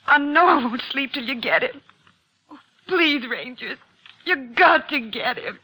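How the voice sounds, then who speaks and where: An actress playing a frightened, anxious grammar school teacher in a 1950s radio drama, hesitant and pleading. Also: female voice, sits high, lively intonation, measured pace, noisy background. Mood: fearful pleading. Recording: old 1950s radio broadcast recording.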